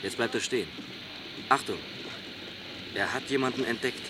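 An actor playing an alert junior police detective in a crime radio play, making terse observations. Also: male voice, medium pitch, normal speed, close to an everyday voice. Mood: watchful. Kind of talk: German crime radio drama acting. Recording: noisy station ambience, vehicle sounds.

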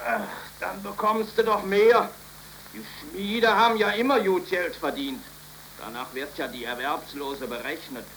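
Casting: resigned, ironic man, fairly high voice, faintly noisy vintage radio drama. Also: a little slow, a bit bright. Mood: bitter resignation. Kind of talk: 1930s radio acting.

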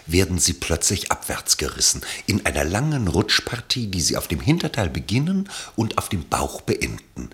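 Deep, husky male narrator, expressive. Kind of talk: scripted literary narration for radio play.

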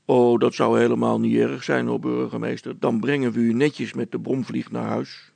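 A down-to-earth handyman from a storybook, voiced by a male reader, with a very creaky, husky voice. He is polite, practical and plainly reassuring. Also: medium pitch, flat delivery, normal speed, dark tone, no room sound. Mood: matter-of-fact, reassuring. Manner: matter-of-fact, reassuring. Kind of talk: children's storybook character acting.

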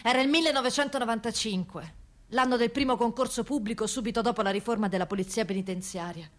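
A woman's voice: a little high, fast, close to an everyday voice. A woman playing an earnest prison police officer in their thirties with wounded pride, confiding plainly in a theatrical radio monologue. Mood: earnest, hurt. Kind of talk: theatrical first-person monologue.

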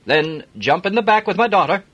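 A man voicing a jovial, chatty middle-aged businessman with a broad Yorkshire accent, booming and talkative. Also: lively intonation, fairly quick, a bit bright, slightly rough, muffled recording, exaggerated voice. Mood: hearty.

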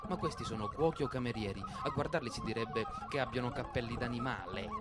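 Un attore che interpreta un giovane barone ingenuo ed eccitabile, appena arrivato in città, in un monologo comico teatrale pieno di esitazioni e ripetizioni. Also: male voice, mid-range voice, fast, very noisy, lightly acted. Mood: flustered wonder. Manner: chattering, hesitant, repeating himself. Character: naive, excitable young baron, newcomer gawking at the city.

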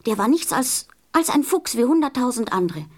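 A woman's voice: a little high, big pitch swings, moderate pace, a little mellow. A woman playing a tender, innocent little boy prince in a radio play, speaking earnestly and carefully. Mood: earnest, firm.

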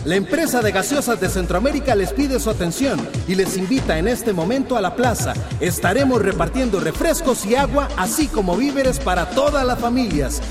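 Upbeat hawker for radio drama, very high voice, echoing announcement. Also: male voice, lively intonation, music in the background. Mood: announcing. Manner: calling out, salesman-like, drawn-out words. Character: upbeat male company promoter calling out to villagers. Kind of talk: radio soap opera announcing.

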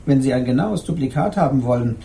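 A gravelly, dark-toned male voice from an actor as a shop craftsman. He is dry, candid and matter-of-fact in this radio drama scene, with a faint rumble of noise behind. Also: lively intonation, fast talker, close to an everyday voice. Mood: businesslike. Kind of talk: German radio play acting.

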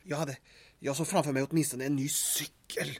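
A man playing a naive, eager young sidekick who dreams of riches in an audio drama, with an excitable manner. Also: on the high side. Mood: wistful. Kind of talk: serial audio drama acting.

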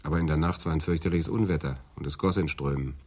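A dryly dismissive police detective in a radio drama. The voice is extremely deep, with a husky edge, and the delivery is matter-of-fact. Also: male voice, fast talker, darkish tone. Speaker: actor in a radio crime drama. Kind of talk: German radio play acting, office dialogue.

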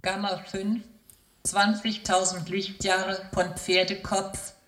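An actress playing an impassive female computer announcer in a science-fiction radio play, measured and impersonal. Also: mid-range voice, little pitch movement, fairly bright tone, soft background music. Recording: roomy echo on the voice.

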